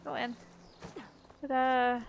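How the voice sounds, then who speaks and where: A woman's voice: sits high, level tone, takes it slowly, vocal fry, highs cut off. Upbeat woman chatting over a video game. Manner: upbeat, thinking aloud. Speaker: streamer playing and narrating a video game. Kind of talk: casual gameplay chat.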